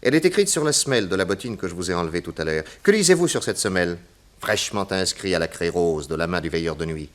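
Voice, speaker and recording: An actor playing a self-assured, logical private detective, delivering measured deductions in a theatrical 1960s radio crime drama. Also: male voice, low-pitched, expressive. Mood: confident, accusing.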